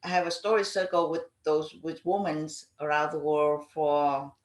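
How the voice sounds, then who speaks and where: Echoey, lower-voiced woman with non-native English. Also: flat delivery, unhurried. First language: not English. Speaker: panelist, community advocate. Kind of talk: panel discussion, personal recollection. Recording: echoey room sound.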